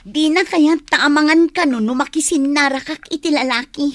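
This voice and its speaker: A woman's voice: high-pitched, moderate pace, no room sound, natural voice. A woman playing a bored, complaining young wife in a radio drama, with a Filipino accent. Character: bored young wife kept cooped up at home.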